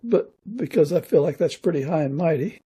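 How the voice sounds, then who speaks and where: A male podcast host with a fairly high, husky voice and a soft, dark tone. His speech is creaky as he chats casually with his guest. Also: expressive, brisk. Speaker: podcast interviewer. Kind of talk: casual interview chat.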